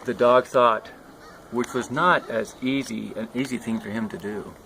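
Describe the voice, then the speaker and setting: An older man with a gravelly voice reading a children's storybook aloud outdoors. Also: medium pitch, normal speed, bright, forceful tone. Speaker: storybook reader, grandfather. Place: outdoors at a scenic lake. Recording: outdoor ambient noise behind.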